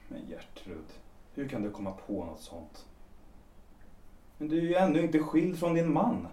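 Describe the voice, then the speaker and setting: A young actor with a Swedish accent playing a hesitant, evasive lover who speaks softly in an audio drama. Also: male voice, on the high side, very animated. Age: young adult. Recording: roomy, distant from the mic.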